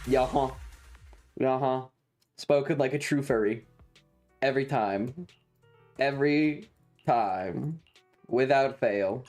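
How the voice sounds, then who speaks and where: A game streamer talks casually to chat in a middle-pitched voice. The pace is unhurried and the delivery is dry and mock-serious. Soft music plays in the background.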